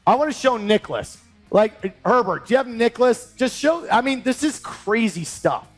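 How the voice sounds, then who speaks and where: A high-voiced man hosts an interview with rambling, emphatic energy. His pitch leaps around constantly as he riffs. Faint music plays underneath. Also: big pitch swings, moderate pace. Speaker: show host. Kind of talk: animated interview hosting.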